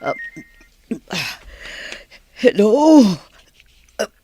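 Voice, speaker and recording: A woman's voice: mid-range voice, very animated, extremely slow, dark tone, no echo, faint music behind, full character voice. A woman acting an ailing mother in an Ilocano radio drama, pleading and groaning in pain.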